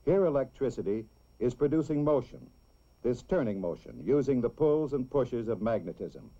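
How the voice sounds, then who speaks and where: Casting: male narrator for a children's instructional film, measured and explanatory, dull vintage soundtrack sound. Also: medium pitch, big pitch swings, mellow. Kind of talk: scripted educational film narration.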